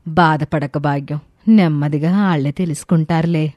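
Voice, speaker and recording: A woman voicing a concerned, consoling older village neighbour in a story, gently advising. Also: deep voice, fairly even intonation, normal speed, no echo, close to an everyday voice.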